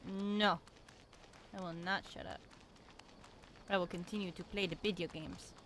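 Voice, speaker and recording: A woman's voice: fairly low pitch, fairly even intonation, measured pace, background noise. A young adult woman streaming a video game, chatting casually and reacting aloud. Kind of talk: casual live commentary over gameplay. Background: game audio.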